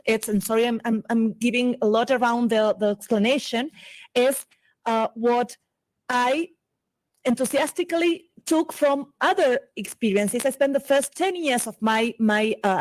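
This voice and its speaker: A woman in her forties from Argentina, a native Spanish speaker, giving a conference lecture in English with an Argentine accent. She talks fast and often restarts and repeats words as she goes. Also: fairly high pitch, darkish tone, low-quality recording.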